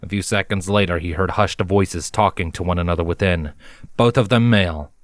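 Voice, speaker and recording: A man with a low voice narrates fiction from a script. He reads as a storyteller, and the recording is dry, with very little room sound. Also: brisk, dry recording.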